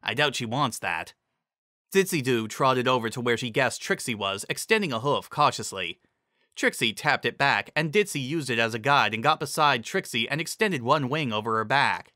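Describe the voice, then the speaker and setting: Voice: medium pitch, lively intonation, normal speed, pushed and bright, no echo, studio quality. A narrator giving a dramatic, scripted reading of a fantasy story.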